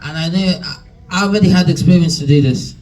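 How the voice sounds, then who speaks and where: A man's voice: a little high, fast, darkish tone, far from the mic, rough recording. A young man, mentee telling a story to a room, chatty with many fillers and restarts. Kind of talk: nervous personal story at a talk. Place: room with an audience. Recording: from across a room, faint room noise.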